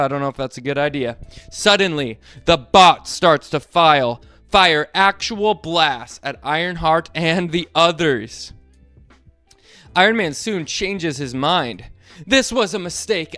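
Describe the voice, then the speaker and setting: A man reading a storybook aloud to children in a playful, animated way, asking them to join in. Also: on the high side, measured pace, clear, resonant tone, no room sound, soft background music.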